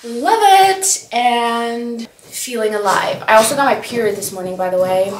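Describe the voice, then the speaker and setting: A woman's voice: fairly high pitch, unhurried. A young woman in her twenties whose first language is Dutch, chatting candidly in a casual diary vlog in her apartment, filmed by a camera a few feet away. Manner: chatty, candid, lots of "like" fillers. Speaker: vlogger. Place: apartment living room and kitchen. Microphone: camera on a tripod at a distance. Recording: roomy echo from camera distance.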